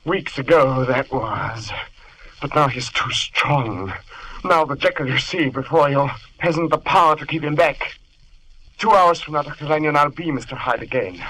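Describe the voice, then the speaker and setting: An actor playing a sinister, frantic scientist torn between tormented doctor and fiend, in theatrical, urgent 1940s radio horror style. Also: male voice, a little high, normal speed, pushed and bright, breathy edge. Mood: anguished. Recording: noisy old radio drama recording.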